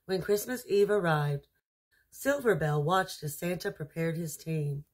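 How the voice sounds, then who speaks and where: Slow, husky woman storyteller. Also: lowish voice. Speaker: storyteller reading aloud. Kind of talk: children's storybook narration.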